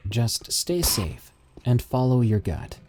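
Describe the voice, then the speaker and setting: A man reading submitted encounter stories aloud in steady, measured scripted narration. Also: mid-range voice, slight background noise.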